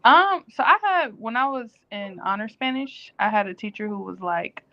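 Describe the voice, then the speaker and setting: A woman co-hosting a casual live-stream chat, telling stories in a lively, animated way. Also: a little high, moderate pace, muffled recording.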